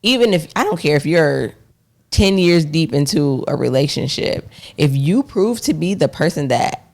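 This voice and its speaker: A hoarse woman whose voice has a gravelly, fry-laced edge, co-hosting a relationship podcast. She makes her points firmly and matter-of-factly. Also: low-pitched, lively intonation, moderate pace, a little mellow. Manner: firm, matter-of-fact, frequent backchannel. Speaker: podcast co-host. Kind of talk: casual relationship chat.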